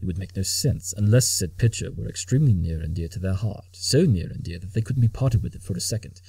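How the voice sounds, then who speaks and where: A man playing an arrogant, brilliant cartoon pony detective who talks in a clipped, condescending, deliberate way. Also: on the low side, lively intonation, dark tone, husky, dry recording, full character voice, poor audio. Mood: deductive, intent. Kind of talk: amateur cartoon audio drama acting.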